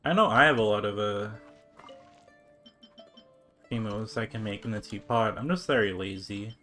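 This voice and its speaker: A man's voice: lowish voice, moderate pace, fairly bright tone. A man streaming a game and chatting casually, thinking aloud in a laid-back manner. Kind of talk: casual livestream chat. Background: game music.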